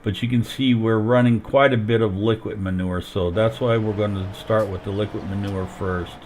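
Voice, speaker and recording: Man, lowish voice, sick and losing his voice, headset mic, faint game noise behind. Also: steady tone, normal speed, a little mellow. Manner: explains steps as he plays. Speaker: streamer. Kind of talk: casual gameplay commentary.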